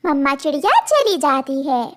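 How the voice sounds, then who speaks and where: A woman's voice: high voice, sing-song, normal speed, fairly bright tone. A woman storyteller reading scripted narration for a children's cartoon story.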